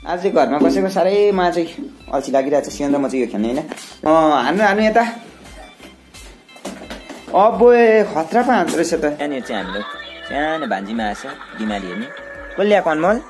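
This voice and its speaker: A man's voice: on the high side, lively intonation, fairly quick, pauses often, soft background music. A young man narrating a casual village vlog while filming on a handheld phone, chatting with family as he goes. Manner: chatty, mixes asides to family with narration to camera. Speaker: village vlogger. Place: rural hillside house and village, indoors and out.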